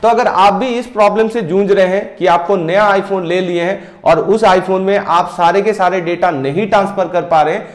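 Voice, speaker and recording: A man in his thirties walking viewers through a software tutorial step by step, speaking directly to them. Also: high voice, normal speed, few pauses, echoey room. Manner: steady step-by-step explaining, addresses viewers directly. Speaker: tech tutorial host. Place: indoor room, screen-recording overlay.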